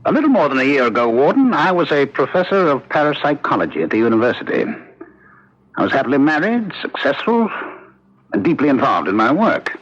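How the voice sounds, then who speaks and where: Refined ex-professor turned killer, radio drama. Sweeping, dramatic delivery, husky tone, emphatic and polished. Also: male voice, mid-range voice, wildly swooping pitch, fairly quick. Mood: grave, dramatic. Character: cultured, talkative condemned murderer, former parapsychology professor. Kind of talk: 1970s radio drama acting. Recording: dull lo-fi old radio broadcast.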